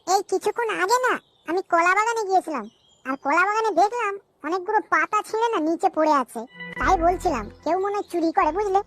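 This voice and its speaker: Squeaky-high suspicious cartoon wife. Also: female voice, animated, fast talker, a bit bright, faint music behind. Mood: worried. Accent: West Bengali. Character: worried, suspicious village wife, adult woman. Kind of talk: comic cartoon dubbing.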